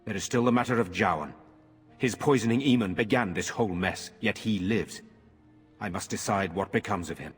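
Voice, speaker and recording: A man playing a solemn, dutiful nobleman in a fantasy video game, speaking in a formal, measured way. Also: fairly low pitch, husky. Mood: stern, deliberating. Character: solemn, dutiful nobleman brother ruling a troubled town. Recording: hall reverb, music behind.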